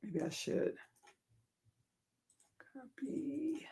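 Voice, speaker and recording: A livestream host with a hoarse, deep, worn voice, chatting casually with viewers, rambling and correcting themselves with frequent chuckles and ums. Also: big pitch swings, very slow, dark tone.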